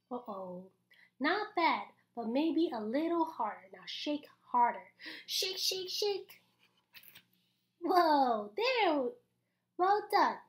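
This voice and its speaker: A young woman with a high voice hosts an interactive story time for little kids. Her delivery is slow and very lively, swinging up and down with exaggerated encouragement. She speaks as if guiding children through each page. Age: young adult. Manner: animated, exaggerated, encouraging, with audible gasps and pauses. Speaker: story-time host reading a picture book aloud. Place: small indoor room.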